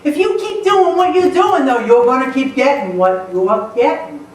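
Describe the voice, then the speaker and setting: Animated woman speaking in an echoey hall. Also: high-pitched, moderate pace. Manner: lively, playful, often laughing. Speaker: motivational speaker. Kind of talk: humorous storytelling talk to a live audience. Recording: echoey hall, mic far off, faint noise.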